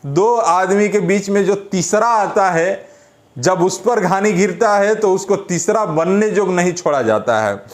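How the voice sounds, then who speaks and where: A man's voice: sits high, a little slow. A man with a Bihari accent giving informal, opinionated commentary as an online news presenter, colloquial and scolding, repeating phrases for emphasis.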